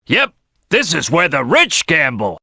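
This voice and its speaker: An actor playing a big, brash crocodile detective in a video game, a gruff, gravelly team leader who is loud and bossy. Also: male voice, on the high side, huge pitch swings, a little slow, no room sound, clean studio sound, exaggerated voice. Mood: bossy, assured. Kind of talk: video game character acting.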